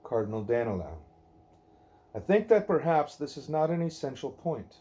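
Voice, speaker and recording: A man reading a devotional dialogue aloud in measured, deliberate phrases. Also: a little high, very animated, mellow. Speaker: reader of a devotional book; parent reading aloud at a child's bedtime.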